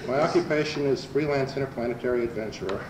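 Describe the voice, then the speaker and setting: A man's voice: mid-range voice, fast talker, distant mic, natural voice. A man playing a mild, earnest bovine space adventurer in an amateur radio comedy, speaking plainly and matter-of-factly. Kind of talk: amateur old-time radio comedy acting. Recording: roomy amateur recording, faint noise.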